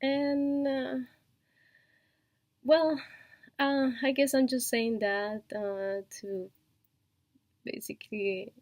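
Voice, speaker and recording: A woman from Colombia with a Colombian Spanish accent, a Spanish native speaker, talking in a casual personal vlog, hesitant and full of fillers and soft laughs. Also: high-pitched, flat delivery, drawn-out words, long pauses, no room sound. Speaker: vlogger and online shop owner.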